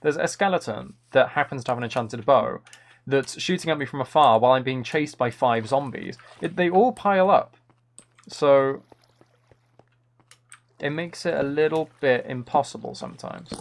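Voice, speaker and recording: A man's voice: medium pitch, normal speed, vocal fry. A man chatting casually as he live-streams a game, thinking aloud in an easygoing running commentary. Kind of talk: casual chat while playing a game live.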